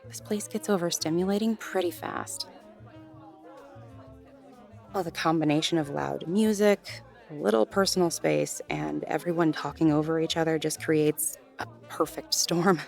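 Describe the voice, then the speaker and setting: A woman's voice: sits low, normal speed, creaky, darkish tone, soft background music. A woman playing a friendly, flirtatious gallery worker in an intimate audio roleplay, soothing and teasing as she talks straight to the listener. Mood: gentle concern.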